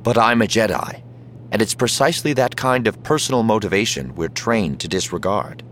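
A man plays a determined Jedi commander in an audiobook. His voice is low, calm and controlled, with an assured, persuasive push and faint noise behind it. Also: fairly quick, natural voice. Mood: calm resolve.

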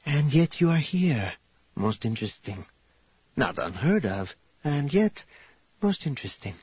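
Man voicing a formal, self-assured noblewoman, measured and husky. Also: medium pitch, big pitch swings, no echo, muffled recording, pitched above the performer's own voice, full character voice. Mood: appraising. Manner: measured, archaic formal phrasing. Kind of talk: audiobook character voice.

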